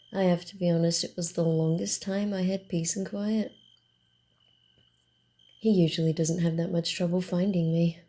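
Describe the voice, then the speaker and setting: A woman voicing a proud, playful vampire wanderer in an ASMR roleplay, halting and teasing, easily flustered. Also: on the low side, mellow. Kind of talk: ASMR roleplay, acted one-sided dialogue.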